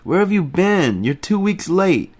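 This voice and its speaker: A man voicing a boisterous young Frenchman who shouts and roars with laughter, well above his own pitch. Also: big pitch swings, a little slow, heavily acted. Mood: hilarious, shouting.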